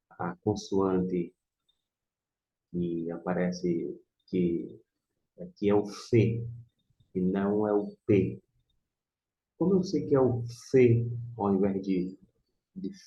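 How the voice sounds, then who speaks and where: Slow, low-voiced Brazilian man teaching. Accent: Brazilian Portuguese. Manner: didactic, repeats and sounds out words. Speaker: Biblical Hebrew teacher. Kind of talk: informal screen tutorial lesson. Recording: muffled, echoey room.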